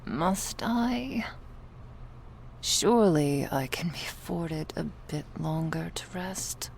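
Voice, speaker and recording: A very slow, low-pitched female vampire lover, acted by a woman murmurs in an intimate ASMR roleplay, half whispered and breathy. She is drowsy, possessive and teasingly fond, with languid, courtly phrasing and a creaky edge. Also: animated, a little mellow, a little hiss or hum, exaggerated voice. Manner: languid, formal archaic wording, teasing. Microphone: close microphone, dry.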